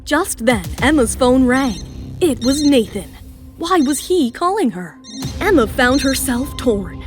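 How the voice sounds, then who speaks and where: Female narrator, high voice, dramatic scripted romance storytelling, music bed underneath. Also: big pitch swings, unhurried.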